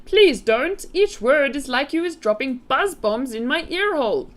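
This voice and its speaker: A woman voicing a huge, kindly, excitable male giant from a children's storybook, with muddled grammar and made-up words. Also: fairly high pitch, moderate pace, voice pushed up. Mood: pained, shouting. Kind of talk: children's storybook read aloud.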